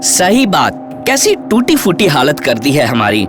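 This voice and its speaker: A man's voice: on the high side, wildly swooping pitch, measured pace. A man playing a squashed talking biscuit carton in a training film, grumbling with indignation. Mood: gloomy. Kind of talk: acted dialogue in a training film. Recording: music behind the voice.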